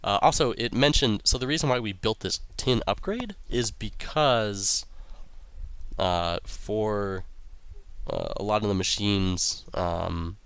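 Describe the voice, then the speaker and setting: Young male let's-play host, low and raspy from a cold, rambling casual commentary, dry close mic. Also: slow pace, a bit bright, no echo. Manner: rambling, many ums and uhs, reads quest text aloud. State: raspy voice from illness.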